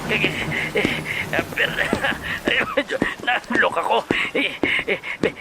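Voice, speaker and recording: Loud music runs under a frightened henchman acted by a man in a comic audio drama. He stammers and repeats himself in a high, creaky voice with an Ilonggo accent. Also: a little slow, low-quality recording. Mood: scared, pleading. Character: frightened, stammering henchman forced at gunpoint to obey.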